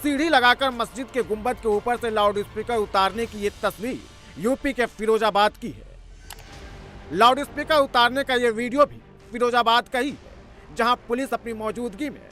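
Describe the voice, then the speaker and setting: A strikingly high-pitched man narrates a news report with a sharp, forceful tone. His scripted delivery is dramatic and emphatic, with music playing clearly behind him. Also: moderate pace.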